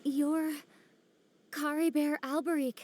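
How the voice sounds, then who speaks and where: A mellow, fairly high female voice over faint ambience. She is a solemn, knowing game character who speaks gravely and portentously, as if reciting dark lore. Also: steady tone, slow pace. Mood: solemn, accusing. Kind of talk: dramatic video game voice acting. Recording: faint ambient noise behind voice.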